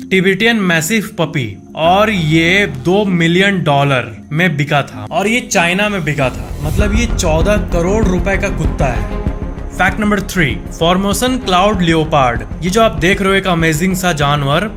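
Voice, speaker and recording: A man with a high-pitched voice hosts a casual countdown of facts. He talks conversationally, often repeating and rephrasing a point for emphasis. Music plays clearly behind his voice. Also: a bit bright. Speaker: facts channel host. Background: background music.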